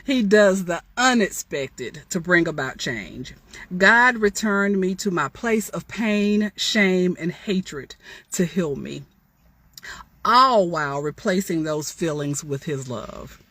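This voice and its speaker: A woman's voice: lowish voice, expressive, slow pace, a bit bright, no echo. A woman author giving an inspirational storytime talk, reading from her own memoir with chatty, testifying asides that address listeners directly.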